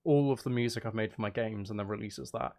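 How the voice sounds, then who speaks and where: A man's voice: fairly low pitch, fast talker, darkish tone. A man chatting casually to viewers on a livestream, rambling playfully, cutting himself off and repeating phrases. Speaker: live streamer, music and game maker. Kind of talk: casual livestream chat with viewers while working.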